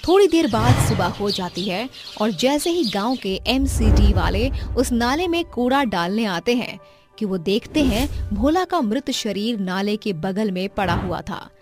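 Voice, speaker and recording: A woman's voice: medium pitch, expressive, measured pace. A woman reading a scripted story as its narrator, with music behind her. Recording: loud music under the voice. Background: background music.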